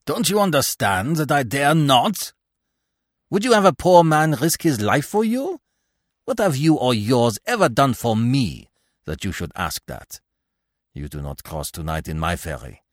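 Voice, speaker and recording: A man voicing a sullen, resentful and fearful ferryman with a gruff, exclamatory manner in an audiobook story. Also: very deep voice, sing-song, moderate pace, dry recording, studio quality, full character voice. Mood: angry. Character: sullen, frightened ferryman, angry and resentful, then pleading.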